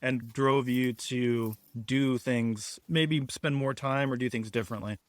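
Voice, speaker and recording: A man's voice: mid-range voice, fairly even intonation, unhurried, dry recording. Joking male podcast host, dry sound. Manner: joking, rambling. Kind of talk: casual podcast chat.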